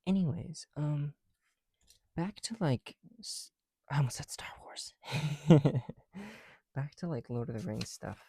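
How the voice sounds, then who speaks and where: A soft-spoken man chatting casually in an ASMR style, often dropping into whispers, with a creaky voice and a rambling, halting delivery, close to the mic. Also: fairly high pitch, expressive, dry recording. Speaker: ASMR video creator. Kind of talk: casual ASMR chat while building bricks.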